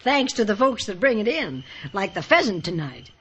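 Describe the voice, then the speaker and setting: Husky, folksy frontier mother. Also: medium pitch, big pitch swings, moderate pace, lo-fi sound. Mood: chatty. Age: middle-aged. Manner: hospitable, motherly. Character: folksy, chatty frontier mother keeping a boarding house. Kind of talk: old-time radio western acting.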